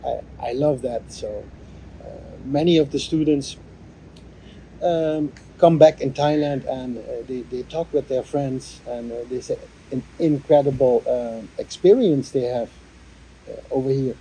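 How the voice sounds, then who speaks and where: A man, a workshop coordinator, giving a reflective interview answer in a halting way, with many fillers and restarts. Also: mid-range voice, dark tone, a little hiss or hum, low-quality recording.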